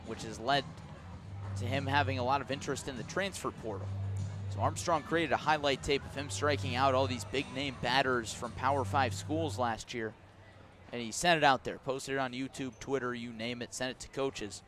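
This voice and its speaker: An American man calling a baseball game live on the radio, describing play in measured, short clipped phrases. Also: medium pitch, fairly even intonation, over music. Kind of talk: live radio sports commentary.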